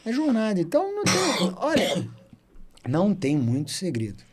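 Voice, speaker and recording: A man in his thirties with a Minas Gerais accent, a podcast guest telling his story in an animated, talkative way. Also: high-pitched, normal speed, heavy background noise. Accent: Minas Gerais Portuguese. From Brazil. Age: 30s. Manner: chatty, animated storyteller who loves to talk. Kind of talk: casual storytelling interview.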